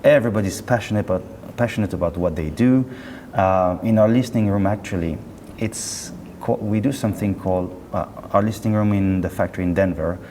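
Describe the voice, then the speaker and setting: Man, low pitch, chatty company rep, halting delivery, casual interview, echoing hall, background noise. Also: warm tone. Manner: chatty, halting and self-correcting. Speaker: company representative being interviewed. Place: indoor hi-fi show.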